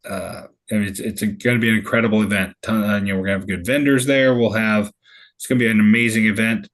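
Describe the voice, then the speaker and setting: A man's voice: fairly low pitch, steady tone, fast talker, fairly bright tone. Chatty laughing St. man host. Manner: chatty, laughs often, loose rambling restarts. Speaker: meetup host. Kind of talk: casual group video call chat.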